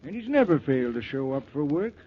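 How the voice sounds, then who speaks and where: An American actor playing a worried, halting father who runs a motel, in 1940s radio style. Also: medium pitch, sing-song, natural voice. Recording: thin phone-like 1940s radio recording, faint noise.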